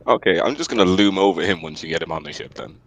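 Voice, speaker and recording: Guy playing an rpg, low voice, bouncy intonation, close dry sound. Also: expressive, fast talker, dry recording, low-quality recording. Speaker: tabletop game player. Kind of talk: casual game chat.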